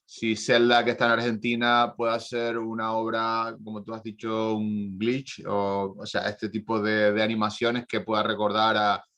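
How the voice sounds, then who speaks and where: A man moderating a conference Q&A, asking long, halting questions full of searching pauses. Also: on the low side, monotone, a bit bright.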